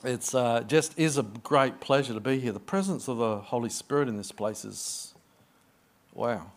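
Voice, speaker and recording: A man's voice: mid-range voice, animated, normal speed. A middle-aged Australian man, a guest pastor, preaching a sermon in an effusive, chatty way with personal stories.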